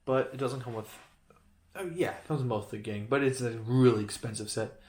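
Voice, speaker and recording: A man's voice: mid-range voice, steady tone, a little fast, darkish tone. A man casually reviewing a toy building set he holds up to a camera, rambling, correcting himself and joking as he goes. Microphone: handheld camera close-up of toy.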